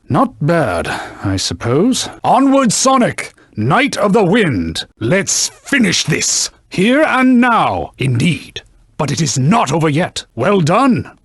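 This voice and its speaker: A man's voice: high-pitched, breathy edge, no echo, studio quality, exaggerated voice. A man voicing a haughty enchanted sword in a video game, a stern knightly mentor who scolds and instructs in pompous, old-fashioned language. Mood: grudging, dry, then solemn, rousing. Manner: pompous, scolding, archaic formal diction. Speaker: combat mentor. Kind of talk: video game voice acting, combat tutorial barks.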